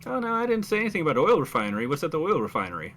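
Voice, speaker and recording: A man's voice: on the high side, huge pitch swings, rapid-fire, a bit bright, voice pushed up, full character voice. A man playing a wry, weary marine squad leader in a tabletop game, talking in a dry, diplomatic way. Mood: measured. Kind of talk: tabletop roleplay acting.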